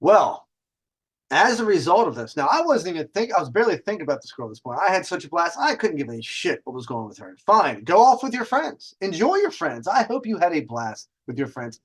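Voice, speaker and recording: A man's voice: high-pitched, very animated, brisk, slightly rough, poor audio. A man hosting a casual storytelling session with dating advice, talking in a cocky, conversational way and swearing freely. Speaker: host telling personal stories and dating advice.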